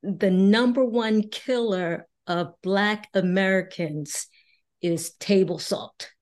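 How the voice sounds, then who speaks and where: A woman hosting an interview, asking polite questions in a deliberate way with occasional fillers. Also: medium pitch, a little mellow. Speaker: interviewer, host.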